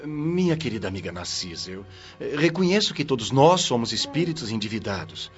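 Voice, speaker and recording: A man's voice: medium pitch, big pitch swings, fairly quick, lo-fi sound, faint music behind, lightly acted. A man playing a stern, righteous chief watchman of the spirit world in a radio drama, grave and moralizing.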